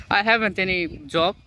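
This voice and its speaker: A man's voice: sits high, slow, pushed and bright, slight background noise. A Bangladeshi man in his twenties with a Bangladeshi accent, practicing English on a video call, hesitant and stumbling, laughing often. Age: 20s. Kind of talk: casual video chat.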